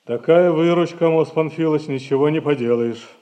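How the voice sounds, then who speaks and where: High-voiced sly, servile clerk played by a man. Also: a little fast, warm tone, close to an everyday voice. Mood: matter-of-fact. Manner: servile, drily impudent, clerkly turns of speech. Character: sly, obsequious merchant's clerk, drily cheeky to his master. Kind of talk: 1960s Russian stage comedy acting for audio.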